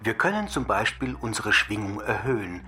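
A man's voice: on the low side, sing-song, moderate pace. A man giving scripted esoteric self-help instruction. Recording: distant voice under loud music. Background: loud music.

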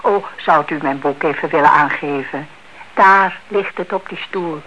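An actress playing an anxious, inquisitive elderly aunt with a suspicious streak in a radio drama. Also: female voice, sits low, measured pace, fairly bright tone. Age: older. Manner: fretful, inquisitive. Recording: dull, noisy old radio play recording.

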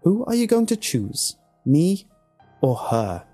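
A man's voice: on the high side, big pitch swings, takes it slowly, soft background music, pitched above the performer's own voice, heavily acted. A man voicing a jealous, demanding mistress in an audio story, hammering out each word with emphasis. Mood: triumphant, demanding. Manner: forceful, emphatic syllables. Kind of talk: dramatic audio story dialogue.

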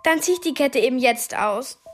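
A thirteen-year-old girl with a high, youthful voice in a radio drama. She is earnest and determined, urgent and direct, with music behind her. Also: lively intonation, moderate pace. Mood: exasperated. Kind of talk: radio play acting.

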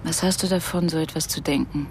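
A woman's voice: low voice, fairly even intonation, fairly quick, darkish tone, a little hiss or hum. An actress playing a wistful, bereaved mother in her thirties, speaking with restrained tenderness in an intimate radio drama. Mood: composed sorrow. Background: music under the voice.

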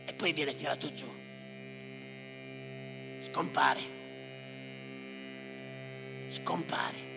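An actor delivering a theatrical monologue as a haunted, embittered official, in terse, repetitive, incantatory phrases with a gravelly voice. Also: male voice, mid-range voice, drawn-out words, long pauses, heavily acted. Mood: grim, intense. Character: haunted, embittered male official narrating drownings at sea. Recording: reverberant theatre hall with live music.

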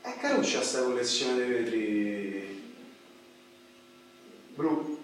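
A man's voice: medium pitch, big pitch swings, slow. An actor playing a streetwise working-class man with a Roman accent, terse, colloquial and offhand, in a radio drama. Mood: dismissive. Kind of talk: radio drama acting before an audience. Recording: echoey, distant, loud crowd noise.

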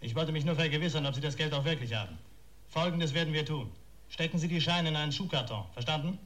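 An actor playing a menacing, bullying blackmailer in a German radio crime drama, barking curt orders and insults. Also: male voice, a little high, fast talker, fairly bright tone, lightly acted.

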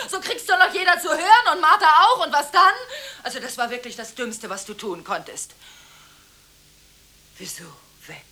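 An actress playing a volatile, contradictory woman arguing through one side of frantic telephone calls in a radio drama. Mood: outraged, insulting, then evasive. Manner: abrupt swings between hushed confiding and shrill scolding.

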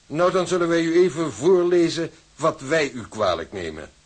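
Husky male voice, officious company official, smug, measured, cold, radio drama. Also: fairly high pitch, expressive. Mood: cold officiousness. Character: smug, coldly formal shipping company official. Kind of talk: Dutch radio drama acting.

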